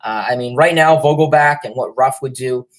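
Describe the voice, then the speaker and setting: A man's voice: medium pitch, moderate pace. Young guy with a cold doing a sports livestream, sniffly, super rambly, tons of filler words. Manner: rambling, heavy fillers and restarts. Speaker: livestream host. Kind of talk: casual sports chat reading viewer comments.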